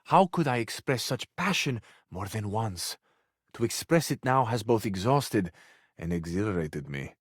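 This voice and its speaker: An actor playing a flowery, sensual, effusive Italian suitor who flirts overzealously in a video game, with an Italian accent. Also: male voice, on the low side, lively intonation, a little slow, slightly rough, dry recording, clean studio sound. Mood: amorous, flirtatious.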